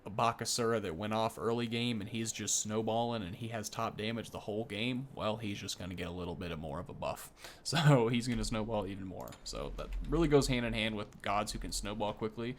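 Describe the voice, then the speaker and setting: A man's voice: lowish voice, fairly quick, slight background noise. Young man doing casual game commentary. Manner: informal, thinking aloud as he tests. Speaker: gaming video host.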